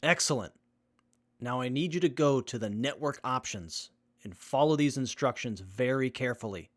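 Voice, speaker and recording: A man acting as an earnest, capable young survivor with a measured, methodical manner in a post-apocalyptic audio drama. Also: medium pitch, expressive, a little hiss or hum, natural voice.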